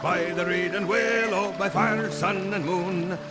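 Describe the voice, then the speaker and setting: An actor playing a merry, sing-song old forest dweller in a fantasy video game, with theatrical, lilting rhymes. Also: male voice, high voice, fairly even intonation, a little slow, loud music behind the voice. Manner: lilting, rhyming, theatrical delivery.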